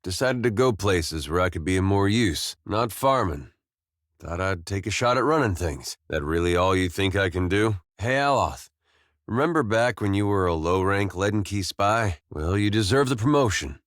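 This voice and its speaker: An actor playing an easygoing, wry, war-weary farmer turned adventurer in a video game, talking in a folksy, understated way with short, plain phrases. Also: male voice, sits low, animated, moderate pace, fairly bright tone, dry recording, studio quality. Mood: friendly, wry. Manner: folksy, laid-back, understated, drawling short clipped phrases. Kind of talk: video game companion dialogue.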